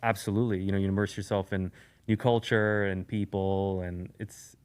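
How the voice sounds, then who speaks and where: Guest from Eastern Europe, a man with a slightly low voice; loose, rambling delivery full of "you know" and restarts. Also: moderate pace, clear, resonant tone. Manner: relaxed, rambling, many fillers and restarts. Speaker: guest, sneaker YouTuber. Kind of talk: casual podcast conversation.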